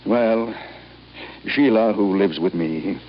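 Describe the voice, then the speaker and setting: A man's voice: fairly low pitch, slow. An actor playing an ailing, embittered old businessman, formal, curt and emphatic in a radio drama. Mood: disapproving, imperious. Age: older. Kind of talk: 1950s radio drama acting. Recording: muffled, noisy old radio recording.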